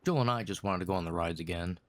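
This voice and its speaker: A man's voice: low-pitched, quick pace, close to an everyday voice. A man playing a boastful, irritable teenage boy in an audio drama. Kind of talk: acted audio drama dialogue.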